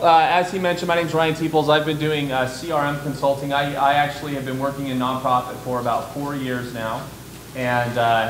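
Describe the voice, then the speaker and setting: Loud-voiced man giving a conference talk. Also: medium pitch, background noise. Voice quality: loud, carrying voice. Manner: energetic, engages the audience with questions. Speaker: conference speaker. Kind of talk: conference presentation. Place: conference room.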